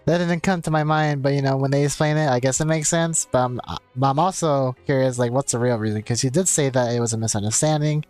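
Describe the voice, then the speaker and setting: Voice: mid-range voice, steady tone, fast talker, hardly any pauses, a little mellow. A streamer chats casually while playing, in a mid-range voice. The delivery is musing and question-filled, with light game music behind it. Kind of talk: casual live gameplay commentary.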